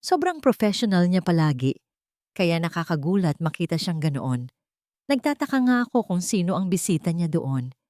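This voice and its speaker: A woman's voice: on the low side, very animated, normal speed, mellow, dry recording. Gossipy hospital nurse played by a female narrator, confiding and concerned, story dialogue, dry sound. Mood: puzzled, curious.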